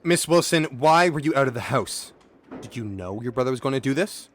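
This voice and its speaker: Man acting a pushy police interrogator, swinging in pitch. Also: lively intonation, a little fast, natural voice. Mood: accusing, aggressive. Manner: badgering, repeats questions. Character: pushy, accusing male county police officer questioning a witness. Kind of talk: radio play acting.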